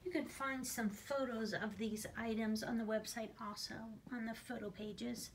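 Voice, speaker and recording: A woman's voice: on the high side, steady tone, moderate pace, a little hiss or hum, poor audio. A woman presenting for an auction house, narrating an informal, unscripted walk-through video on a handheld phone inside a large warehouse. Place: large furniture warehouse, indoors. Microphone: handheld phone camera, speaker behind it.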